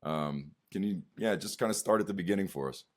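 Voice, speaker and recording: A man with a fairly low voice hosts a casual interview show. He asks his questions in a loose, rambling way, with plenty of fillers along the way. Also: fairly quick. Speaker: show host.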